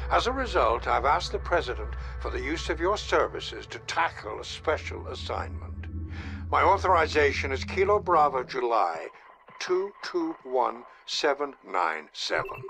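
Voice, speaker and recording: A man's voice: mid-range voice, big pitch swings, measured pace, gravelly, sharp tone, exaggerated voice. An actor playing a commanding male government spymaster, speaking in a formal, controlled way. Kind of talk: video game cutscene voice acting. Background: game score music.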